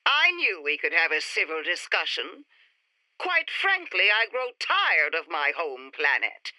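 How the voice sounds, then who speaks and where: An actress playing an ambitious, coolly scheming alien inventor prodigy in a retro sci-fi radio play, speaking formally and precisely. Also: female voice, fairly low pitch, huge pitch swings, unhurried, sharp tone, no echo, heavily acted, rough recording. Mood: confident, persuasive. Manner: formal, precise, self-important.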